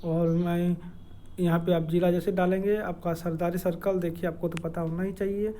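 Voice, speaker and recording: A man with a Jharkhand accent giving an informal explainer in a halting, hesitant way, with many filled pauses and repeated words. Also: sits high, little pitch movement, warm tone, a little hiss or hum. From Dumka district, Jharkhand, India. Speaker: educational video creator. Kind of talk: informal explainer with screen walkthrough.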